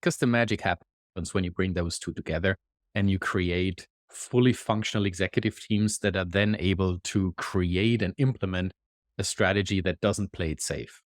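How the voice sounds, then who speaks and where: Low-voiced man with a German accent. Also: no echo, studio quality. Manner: thoughtful, explanatory, with measured pauses. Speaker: guest, executive consultant. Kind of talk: conversational interview.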